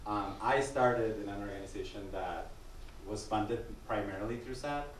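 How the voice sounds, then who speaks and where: Man from Mexico, panel moderator, low voice, noisy echoing hall. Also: normal speed. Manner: says he has a loud voice; earnest. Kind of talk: public panel introduction. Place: museum gallery. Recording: echoey room, distant mic, noisy.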